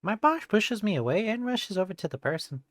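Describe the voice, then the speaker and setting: A game streamer reading a visual novel aloud in an expressive narrating style. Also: medium pitch, brisk, slight background noise. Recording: game music behind the voice.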